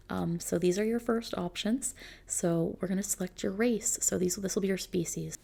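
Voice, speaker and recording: A woman speaking softly and often near a whisper in an ASMR roleplay, gently reassuring like an attentive consultant. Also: fairly low pitch, gravelly. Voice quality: soft, hushed, often slipping into whispers. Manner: gentle, reassuring, attentive client-service talk. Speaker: ASMR roleplay performer playing a customization consultant. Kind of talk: soft-spoken ASMR roleplay.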